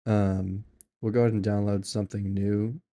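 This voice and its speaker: Low gravelly guy rambling through a tutorial, kinda flat, lots of ums. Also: moderate pace. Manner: rambling, offhand, with many ums and self-corrections. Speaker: tutorial maker. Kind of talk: casual screen-recorded software tutorial.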